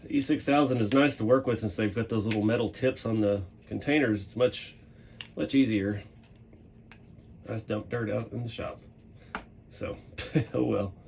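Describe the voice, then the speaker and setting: Hoarse man rambling in his workshop. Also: fairly low pitch, normal speed, long pauses, slight background noise. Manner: relaxed, rambling, chuckles often. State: losing his voice. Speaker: hobby channel host. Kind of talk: casual workshop vlog. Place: home workshop.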